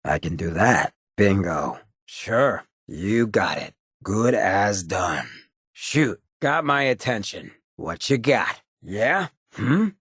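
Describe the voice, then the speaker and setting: Cocky ghoul rogue, video game character, American accent, heavily rasped, lowish pitch, streetwise drawl. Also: male voice, lively intonation, slow pace, a bit bright, dry recording, clean studio sound, exaggerated voice. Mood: wry, alert. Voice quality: raspy, gravelly. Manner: cocky, streetwise drawl with clipped slangy phrasing. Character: roguish, swaggering ghoul leader, irradiated zombie-like man.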